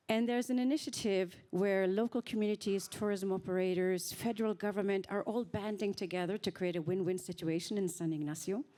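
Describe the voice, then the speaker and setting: A woman who speaks on a panel, telling her story in an earnest, reflective way with thoughtful pauses. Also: medium pitch, warm tone. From Norway. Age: 50s.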